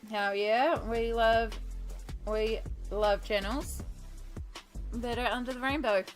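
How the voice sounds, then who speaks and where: A woman's voice: on the high side, fairly even intonation, slow pace, faint music behind. A young woman from New Zealand with a New Zealand accent, chatting casually and laughing as she reads out her live stream chat while colouring.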